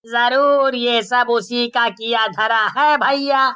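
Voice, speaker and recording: Insistent, drawn-out cartoon villager, played by a woman. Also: medium pitch, fairly even intonation, sharp tone, clean tone, voice pushed up. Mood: suspicious.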